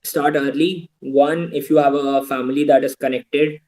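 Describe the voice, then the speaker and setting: A man's voice: on the high side, fairly even intonation, normal speed, a little mellow, poor audio. A young man with an Indian English accent, speaking earnestly as a guest speaker, giving students advice over a video call. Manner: earnest, advising, switches into Hindi asides.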